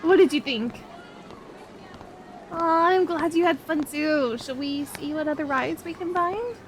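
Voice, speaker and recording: Young female best friend played by a woman, expressive roleplay style, unhurried, faint noise behind. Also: high-pitched. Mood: excited. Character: excitable young woman on an outing with her best friend, sweet and easily discouraged. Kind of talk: ASMR roleplay audio drama addressed to the listener.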